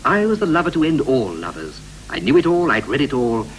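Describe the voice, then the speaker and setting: An actor playing a restless, wistful husband in a 1960s radio play, speaking in clipped, rhythmic, theatrical phrases. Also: male voice, mid-range voice, huge pitch swings, brisk, low-quality recording. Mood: brisk, declamatory. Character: John, restless husband wistfully recalling youth and marriage. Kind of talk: 1960s BBC radio play, poetic stylised dialogue. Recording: 1960s radio recording with hum, hiss.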